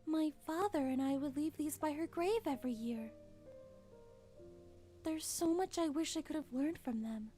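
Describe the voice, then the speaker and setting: Casting: actress for gentle cartoon pony queen, very high, soft, earnest, music underneath. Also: normal speed, natural voice. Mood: wistful, gentle. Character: gentle, kind, humble young pony queen. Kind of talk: animated fan drama dialogue.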